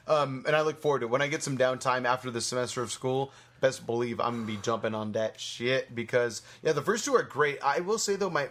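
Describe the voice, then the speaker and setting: A man's voice: mid-range voice, a bit bright. Casual young man hosting a chatty podcast. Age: young adult. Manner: profane, chatty. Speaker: podcast host. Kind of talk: casual chat about games and movies.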